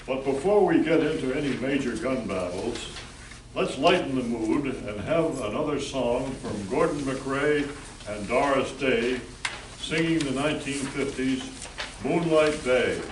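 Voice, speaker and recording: A man's voice: mid-range voice, a little slow. A man hosting and narrating a scripted radio play. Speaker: narrator and host. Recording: echoey room, distant mic, hiss.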